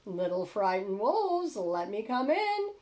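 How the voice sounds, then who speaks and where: A woman's voice: medium pitch, very animated, takes it slowly, a little mellow. A woman voicing a menacing, blustering male pig, a bully from a children's storybook. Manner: blustering, threatening. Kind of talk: children's storybook read-aloud.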